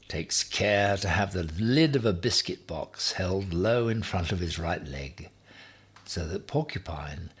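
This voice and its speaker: A man narrating a children's story from a text as an expressive storybook reader. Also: low voice, husky.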